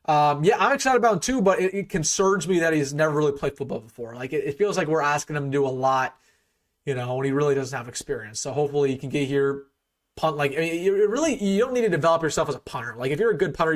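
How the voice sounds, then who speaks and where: A man's voice: fairly high pitch, fast. A young man hosting a casual live sports stream, opinionated, full of restarts and fillers, his voice getting a bit worn. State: losing his voice a little.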